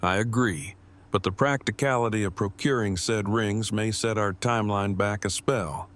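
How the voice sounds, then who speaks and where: A man's voice: lowish voice, fairly even intonation, moderate pace, a bit bright, natural voice. A man playing a pedantic, earnest survivor in an audio drama, speaking in stiff, precise, formal phrases.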